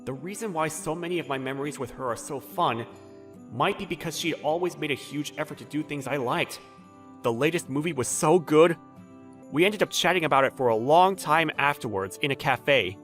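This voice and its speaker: A man's voice: fairly high pitch, lively intonation, normal speed, fairly bright tone. A man playing a flustered, jilted bachelor who narrates his awkward romance with dramatic, exasperated inner monologue in a manga dub. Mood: reflective narration. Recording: background music under the voice.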